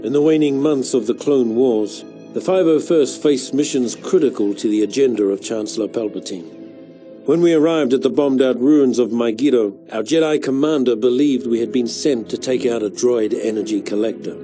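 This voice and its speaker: An actor playing a world-weary veteran clone soldier, narrating his war memories in a measured, solemn way for a video game. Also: medium pitch, mellow, faint music behind, close to an everyday voice. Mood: grim, matter-of-fact. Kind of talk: scripted narration, military memoir.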